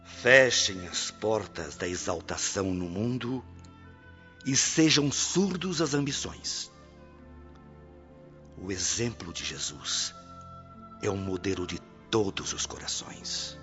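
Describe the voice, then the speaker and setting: A very deep Brazilian spirit guide, solemn and benevolent, preaching to souls in a radio drama. He speaks very slowly, like a formal orator. The recording is somewhat dull, with soft music underneath. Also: big pitch swings, exaggerated voice. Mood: grave exhortation. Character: solemn benevolent male spirit mentor addressing departed souls.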